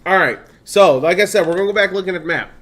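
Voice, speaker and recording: Male streamer, 30s, blended Midwestern/Southern/Californian accent, slightly high pitch, chatty and laughing. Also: fast talker, a bit bright. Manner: chatty, laughs often, reads game text aloud. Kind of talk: casual gameplay stream commentary.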